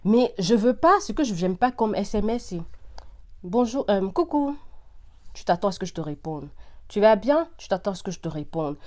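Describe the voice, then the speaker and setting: A woman hosting a casual vlog, talking straight to her viewers in an animated, insistent way with repeated phrases and bits of English slang. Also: mid-range voice, moderate pace. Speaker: vlog host giving opinions to viewers. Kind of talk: casual direct-to-viewer chat.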